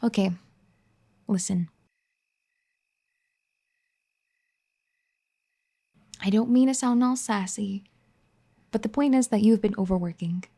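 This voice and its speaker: A woman's voice: mid-range voice, moderate pace, close to an everyday voice. A woman playing a bossy, caring young wife. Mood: tender, pleading. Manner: bossy teasing scolding that turns gentle and pleading. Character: firm, caring young wife scolding an overworking spouse. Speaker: ASMR roleplay performer. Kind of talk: audio roleplay addressed to the listener.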